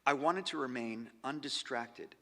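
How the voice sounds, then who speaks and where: A man reads diary entries aloud on stage in a slow, expressive way, letting phrases fade almost to a whisper. His voice is bright and edged with fry. The sound rings with the long reverb of a large hall. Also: mid-range voice. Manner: measured and expressive, dropping to near-whispers on trailing phrases. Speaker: actor giving a stage reading. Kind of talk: dramatic literary reading of diary entries.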